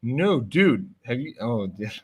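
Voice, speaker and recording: A man trades loose, joking banter on a casual stream, full of fillers and quick asides. His voice sits at an ordinary middle pitch. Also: sing-song, darkish tone, husky. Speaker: stream co-host.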